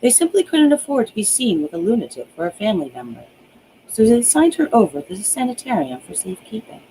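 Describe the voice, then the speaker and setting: A woman's voice: a little high, big pitch swings, quick pace, mellow, slight background noise, voice pushed up, low-quality recording. A woman voicing a composed, professional woman psychiatrist in a storybook reading, with a clinical, questioning manner. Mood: clinical, puzzled.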